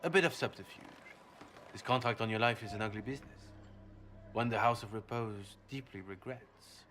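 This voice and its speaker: A man playing a suave, courteous nobleman with a secret sinister side in a video game, speaking in a ceremonious, coolly measured way. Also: lowish voice, a bit bright, slight background noise, close to an everyday voice. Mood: suave menace. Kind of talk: dramatic video game cutscene acting.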